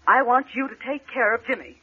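A woman's voice: fairly high pitch, brisk, slightly rough. An actress playing a fearful, secretive mother, hesitant, urgent and emotional, in old radio melodrama style. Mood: pleading. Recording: dull, band-limited old radio recording.

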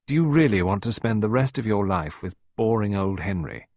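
A man's voice: lowish voice, animated, fairly quick, a little mellow, no echo, clean studio sound. A man voicing a polite, earnest male suitor in an audiobook, rueful and lightly ironic. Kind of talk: audiobook character voice.

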